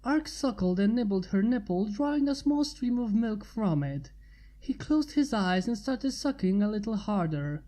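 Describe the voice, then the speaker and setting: A woman's voice: mid-range voice, animated, moderate pace, dark tone, low-quality recording. A woman reading fan fiction aloud as a narrator.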